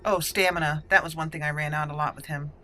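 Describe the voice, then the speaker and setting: A woman's voice: sits low, steady tone, fast, bright, forceful tone, faint music behind. A woman hosting a let's play, giving casual commentary as she goes through a video game. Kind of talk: casual gameplay commentary with roleplay.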